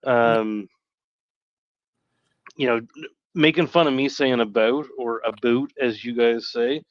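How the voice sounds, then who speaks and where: Low-voiced man, halting and rambling. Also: dry recording, highs cut off. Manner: halting, rambling, self-correcting. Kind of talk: casual live stream chat.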